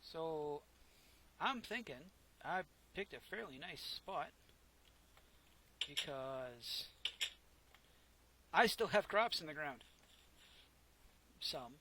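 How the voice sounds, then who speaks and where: A soft-spoken man casually narrating his own gameplay, rambling and correcting himself as he goes, close to a desk microphone. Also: on the high side, animated, very slow, long pauses, a little hiss or hum. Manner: rambling, self-correcting, chatty. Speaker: gameplay commentator. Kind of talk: casual let's play commentary.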